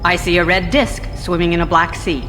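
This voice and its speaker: A woman playing a composed, skeptical astronomy professor in a radio drama, speaking in a measured, precise, formal way. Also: fairly low pitch, level tone, lightly acted. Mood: measured, observant. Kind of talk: radio drama interview acting. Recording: long hall reverb, faint noise.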